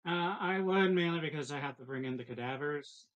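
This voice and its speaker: A man's voice: a little high, fairly quick, highs cut off. A man chatting casually as a player in a tabletop roleplaying game.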